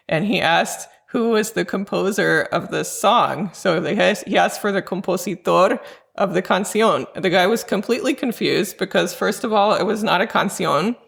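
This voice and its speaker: Creaky-voiced woman interviewer. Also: lowish voice, normal speed, fairly bright tone. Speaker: interviewer and music researcher. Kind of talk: conversational interview with anecdotes.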